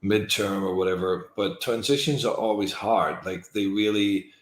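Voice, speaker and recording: Deep-voiced man hosting in an echoey room. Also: normal speed. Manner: thoughtful, empathetic, hesitant with fillers. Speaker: interview host. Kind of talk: reflective conversational interview.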